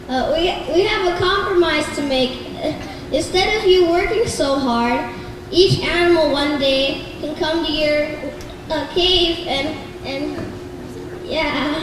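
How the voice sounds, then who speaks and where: Woman as a very high, timid little rabbit. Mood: nervous. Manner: hesitant, deferential, halting. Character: small timid rabbit petitioning the lion. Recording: very echoey noisy hall, distant mic.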